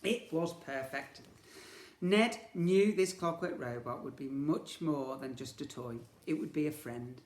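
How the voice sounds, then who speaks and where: A man reading a children's story aloud in an expressive, theatrical way, with emphatic delivery. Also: fairly high pitch, measured pace. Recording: echoey room.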